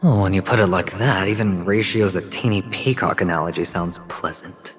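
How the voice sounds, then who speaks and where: An actor playing a guarded, weary young gambler in a video game, speaking in a measured, dry, hesitant way. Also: male voice, sits low, faint music behind, lightly acted. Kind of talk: dramatic video game acting.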